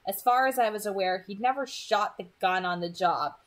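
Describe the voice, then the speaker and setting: A woman's voice: mid-range voice, normal speed. Woman narrating a novel aloud. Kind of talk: scripted first-person story narration.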